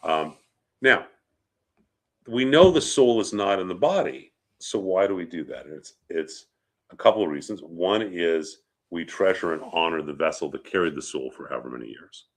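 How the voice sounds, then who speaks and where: A man's voice: deep voice, expressive, normal speed. A man with a head cold, sniffling a little, teaching as a rabbi in an informal, conversational lecture and often checking in with short questions. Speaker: rabbi teaching about funeral customs.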